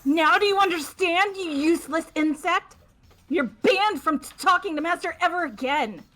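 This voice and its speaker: A woman's voice: extremely high pitch, lively intonation, moderate pace, fairly bright tone, rough recording. A woman voicing a haughty young woman obsessed with her master, stuttering with contempt in an amateur game dub. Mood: scornful. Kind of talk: amateur voice acting of a video game.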